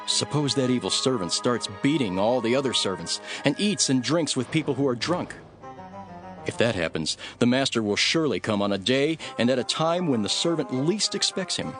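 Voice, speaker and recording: A man reading scripture as a solemn, dramatic narration, measured and earnest, with deliberate phrasing. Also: medium pitch. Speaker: Bible narrator. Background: background music.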